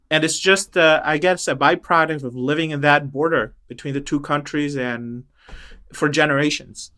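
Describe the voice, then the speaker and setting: A middle-aged man speaking as an interview guest, thoughtful and halting, with many restarts and fillers. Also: a little high, a bit bright, clean tone. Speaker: interview guest, choral director. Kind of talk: reflective interview talk.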